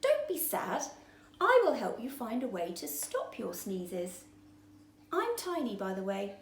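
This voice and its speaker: A woman's voice: on the high side, huge pitch swings, a little slow, a little hiss or hum, heavily acted. A woman voicing a tiny, eager fish who talks in a cheerful, encouraging way. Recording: roomy echo.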